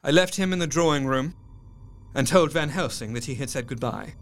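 An actor playing a grieving young doctor, narrating his diary in a measured, literary and solemn way. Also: fairly high pitch, a bit bright, natural voice. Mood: sombre, tender. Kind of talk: dramatic first-person audio drama narration. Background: music.

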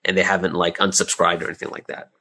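A man's voice: sits low, level tone, fast, bright, forceful tone, slightly rough. A man answering interview questions casually as a business owner, thinking aloud and repeating phrases as he explains. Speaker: interview guest, audio drama creator and business owner.

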